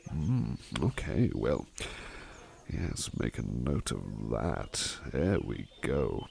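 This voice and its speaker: Guy doing a courteous butler for asmr, low creaky voice, kinda hesitant and rambly, eager to please. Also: full character voice. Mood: eager, considerate. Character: polite, attentive male butler, gentle and eager to please. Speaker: ASMR roleplay performer. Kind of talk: ASMR personal-attention roleplay.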